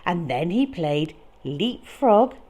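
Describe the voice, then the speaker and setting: A woman reading a picture book to young children in a dramatic, expressive way with deliberate phrasing. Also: on the low side. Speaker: children's storyteller.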